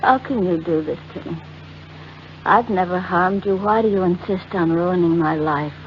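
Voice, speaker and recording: A woman's voice: lowish voice, normal speed, natural voice. An actress playing a desperate, fragile wealthy married woman in 1940s radio melodrama, overwrought and pleading, repeating herself. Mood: pleading, despairing. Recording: muffled old radio recording with hiss.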